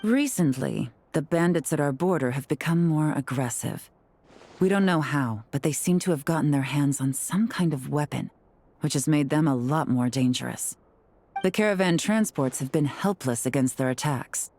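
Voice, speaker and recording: An actress playing a cool, shrewd female intelligence agent in a video game, calm, knowing and deliberate. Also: sits low. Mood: calm, analytical. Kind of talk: video game character acting.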